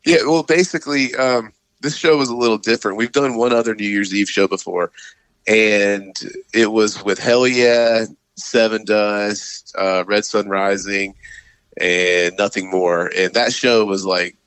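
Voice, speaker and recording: A man's voice: fairly low pitch, steady tone, a little slow, a bit bright, clear, resonant tone, dry recording. A man from South Carolina, a guest musician, talking in a laid-back way with a stuffy nose from a cold. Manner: laid-back, lots of "like" and laughs. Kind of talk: casual interview chat.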